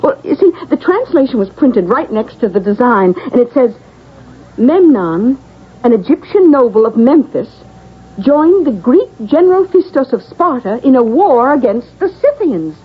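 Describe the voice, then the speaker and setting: A woman's voice: high-pitched, sing-song, moderate pace, breathy edge, exaggerated voice. An actress playing a knowing woman who eagerly explains ancient legends in a vintage radio drama. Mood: storytelling. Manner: eager, storytelling. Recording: dull vintage radio broadcast.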